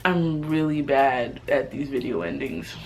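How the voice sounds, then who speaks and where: A woman's voice: a bass voice, a little slow, a little hiss or hum. An American woman in her twenties, a language-learning vlogger talking in a chatty, slangy way. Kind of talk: casual vlog chat.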